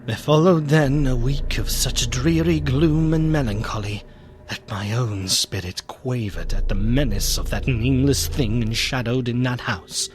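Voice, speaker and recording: An actor playing an anxious, theatrical gentleman who narrates a gothic radio play with solemn deliberation. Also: mid-range voice, a little hiss or hum, lightly acted. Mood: hushed dread. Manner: solemn, theatrical, deliberate, hushed then fervent. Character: gentleman narrator, anxious friend of a doomed nobleman.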